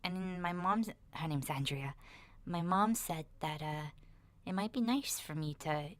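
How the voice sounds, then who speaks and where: A woman voicing an awkward ten-year-old boy in a hesitant, rambling ASMR roleplay diary, soft and breathy and often slipping toward a whisper. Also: on the low side, a little slow, natural voice. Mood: hesitant, earnest. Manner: hesitant, shy, rambling with sighs and self-corrections.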